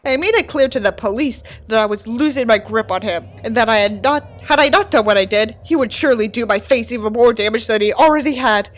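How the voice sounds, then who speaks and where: Animated high-voiced woman, dramatic reader. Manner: theatrical, exaggerated, sarcastic. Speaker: host reading a book aloud with commentary. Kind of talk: mock-dramatic book reading with candid asides.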